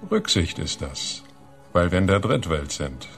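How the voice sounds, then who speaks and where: Menacing chief inspector, hushed, officious, creaky voice, faint music underneath, radio play. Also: male voice, low voice, sing-song, measured pace, exaggerated voice. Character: stern male police chief inspector, hushed but menacing. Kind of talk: radio drama acting.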